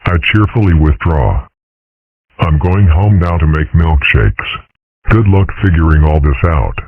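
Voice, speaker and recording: Man voicing a very deep, pompous dragon father. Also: expressive, measured pace, slightly rough, no room sound, phone-quality sound, full character voice. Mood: stately. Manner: pompous, theatrical, wordy. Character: grandiose, melancholy adult male dragon, a dignified father. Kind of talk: comic dub character acting.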